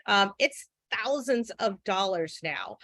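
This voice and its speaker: A woman's voice: medium pitch, lively intonation, slow, a bit bright, dry recording, studio quality. A woman in her forties giving an earnest, frank webinar talk, with many fillers. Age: 40s. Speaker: guest speaker. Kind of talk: webinar presentation.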